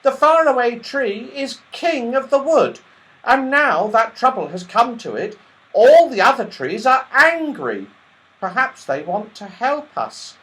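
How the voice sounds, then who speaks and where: A man's voice: extremely high pitch, very animated, a little slow, a bit bright, voice pushed up, heavily acted, rough recording. A man voicing a tiny red squirrel in a children's storybook, with a thin voice and an urgent, worried manner. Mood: sad, earnest. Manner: agitated, urgent explaining. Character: tiny little red squirrel, anxious messenger.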